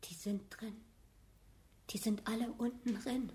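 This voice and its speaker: A woman playing a frightened, distraught wife in a radio drama, with a breathy, husky voice. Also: a little high, steady tone, measured pace, warm tone. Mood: fearful. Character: frightened, distraught wife hiding in her flat. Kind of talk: German radio drama acting.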